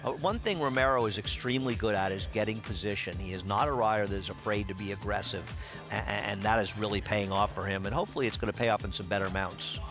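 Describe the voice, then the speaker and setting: A man's voice: fairly low pitch, quick pace, music in the background. A man giving horse racing analysis and studio banter, chatty with dry humor.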